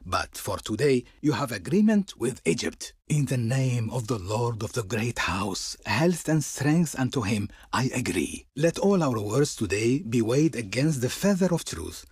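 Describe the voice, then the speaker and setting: An actor voicing a servile, ceremonious and pompous Egyptian diplomat in a theatrical video game style. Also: male voice, medium pitch, big pitch swings, a little slow, hardly any pauses, a little mellow, breathy edge, dry recording, clean studio sound, full character voice. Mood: grudging. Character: servile, smooth-tongued Egyptian diplomat of Pharaoh, pompously reverent.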